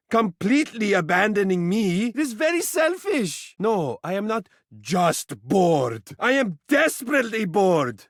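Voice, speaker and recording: Vain, swooping, theatrical living mirror. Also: male voice, high voice, no room sound, clean studio sound, exaggerated voice. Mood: melodramatic, languishing. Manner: flowery, pompous, melodramatic, coaxing. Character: vain, fussy, dramatic living mirror, doting male lover. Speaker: video game voice actor. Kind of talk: theatrical character dialogue for a video game.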